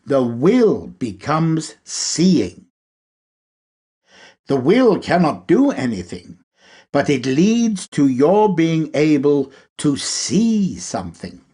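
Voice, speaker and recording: Male lecture reader: unhurried delivery, lively melodic swings, measured emphasis on key phrases. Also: a little high, husky. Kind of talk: read-aloud spiritual lecture.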